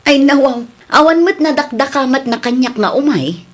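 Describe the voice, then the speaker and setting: An actress in a radio drama playing a worried wife who protests earnestly. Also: female voice, sits high, animated, moderate pace.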